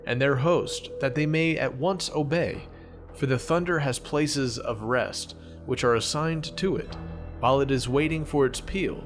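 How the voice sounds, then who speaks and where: Solemn prophet narrating over music, a man acting. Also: fairly high pitch. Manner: measured, reverent, archaic storytelling. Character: solemn male ancient prophet recounting his visions. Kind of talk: dramatized scripture narration. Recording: music playing clearly behind narration.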